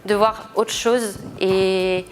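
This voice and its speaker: A woman's voice: medium pitch, steady tone, extremely slow, rough recording. Young female student, mid-range voice, earnest formal testimonial delivery. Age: young adult. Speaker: student back from internship abroad. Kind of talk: interview testimonial.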